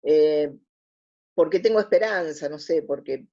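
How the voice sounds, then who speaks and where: A woman in her sixties, speaking with a Buenos Aires accent, heard through dull, lo-fi audio. She recounts memories as an interview guest in an expressive, conversational way, often pausing to search for the next phrase. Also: deep voice. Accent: Buenos Aires Spanish. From Argentina.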